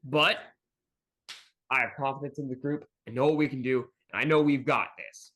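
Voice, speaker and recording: A man's voice: mid-range voice, fairly even intonation, moderate pace, fairly bright tone. A man in casual podcast conversation, speaking in an earnest, emphatic way. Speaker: fandub team member on podcast.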